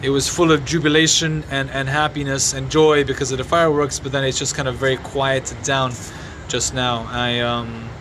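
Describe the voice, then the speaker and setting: Halting man on noisy phone livestream. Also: medium pitch, level tone. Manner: halting and sombre, many restarts and fillers. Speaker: livestreamer reporting a fire. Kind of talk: live phone stream, answering viewer comments. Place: outdoors in a city compound at night. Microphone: phone mic. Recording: noisy outdoor phone stream.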